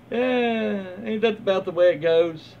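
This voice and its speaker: Middle-aged man, slightly high voice, casual read-aloud, informal halting delivery, muffled home recording. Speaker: video host reading online stories. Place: small room at home, indoors, seated in armchair. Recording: dull lo-fi home recording, faint noise.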